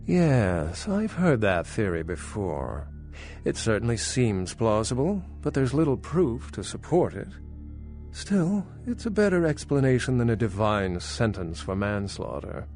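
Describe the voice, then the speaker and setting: Ancient male vampire academic for a game: lowish voice, very animated phrasing, pedantic, wry, music underneath. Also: a little slow. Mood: thoughtful. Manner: articulate, lecturing, wry, pedantic. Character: centuries-old male vampire scholar, erudite, skeptical, dryly condescending. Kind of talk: video game dialogue acting.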